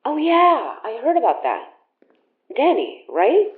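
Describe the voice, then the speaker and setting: A caring young woman in an audio drama, teasing and casual. She speaks in halting, everyday phrases. The sound is dull and echoes around the room. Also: sits high, huge pitch swings, exaggerated voice. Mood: reassuring, chatty. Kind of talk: naturalistic audio drama acting. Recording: roomy echo, dull lo-fi sound.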